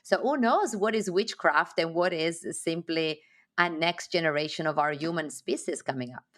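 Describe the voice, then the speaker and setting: A woman's voice: low-pitched, normal speed. A woman with an Italian accent from Sardinia, a podcast guest talking in an expansive, emphatic, spiritual way. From Sardinia, Italy. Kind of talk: casual interview conversation.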